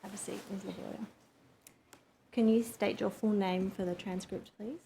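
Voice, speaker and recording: Counsel, woman; heavy vocal fry, brisk procedural questioning, faint background noise. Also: medium pitch, fairly even intonation. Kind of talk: formal hearing questioning. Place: public hearing room.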